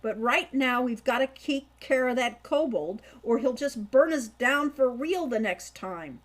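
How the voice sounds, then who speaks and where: A woman's voice: fairly high pitch, lively intonation. Woman voicing a bold, brash teenage boy hero. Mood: firm, urgent. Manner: firm, brisk, dismissive delivery. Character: sixteen-year-old boy hero, bold, brash and impatient. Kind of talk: audiobook character voice.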